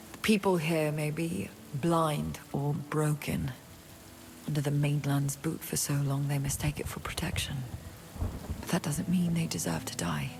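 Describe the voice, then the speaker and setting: Low, husky-creaked rebel noblewoman. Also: darkish tone, a little hiss or hum. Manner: wry, reluctant, earnest. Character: defiant young noblewoman turned rebel, wry and guarded. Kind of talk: video game character acting.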